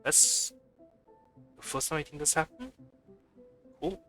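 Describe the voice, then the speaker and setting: A man's voice: fairly high pitch, sing-song, takes it slowly, pushed and bright, soft background music. A man giving casual live commentary as he plays a video game. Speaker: game streamer.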